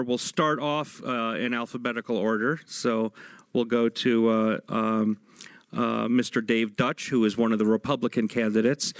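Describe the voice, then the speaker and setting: A man with an American accent chairing a meeting, speaking in formal procedural phrases with halting improvised stretches. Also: medium pitch, dry recording.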